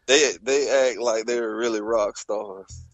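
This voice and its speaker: A man's voice: fairly low pitch, a little slow, no echo, muffled recording, faint music behind. A man co-hosting a casual wrestling podcast, chiming in with short remarks and easy laughs. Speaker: podcast co-host.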